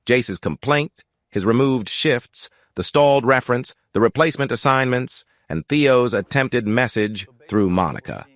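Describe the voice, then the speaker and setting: A man giving scripted first-person story narration in an even, reflective way. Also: mid-range voice, unhurried, hardly any pauses, no echo, clean studio sound.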